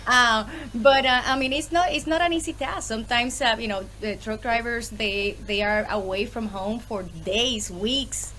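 A woman in her thirties with a Puerto Rican accent, a podcast guest chatting on a video call from home, lively and full of fillers. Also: on the high side, moderate pace, few pauses, slight background noise. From Puerto Rico. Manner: lively, laughs often, many fillers. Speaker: guest, small business owner. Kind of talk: casual interview on a video call. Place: home room with bookshelves.

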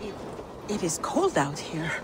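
A woman's voice: fairly low pitch, sing-song, a little slow, heavy background noise. A woman playing a grave, burdened giantess scholar and dutiful daughter in a video game, earnest and formal. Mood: weary, worried. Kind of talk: video game dramatic acting. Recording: loud music and ambience behind.

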